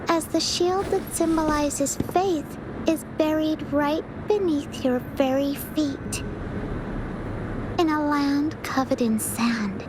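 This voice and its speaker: A woman's voice: high voice, noisy background. A woman voicing a timid, earnest young child in a video game, with a hesitant, childlike manner. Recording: music behind the voice.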